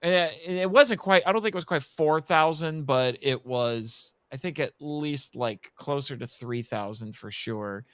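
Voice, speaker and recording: Clear-voiced, higher-pitched man, casually chatting. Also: measured pace, hardly any pauses, fairly bright tone, dry recording, clean studio sound. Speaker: podcast guest. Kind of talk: casual film discussion.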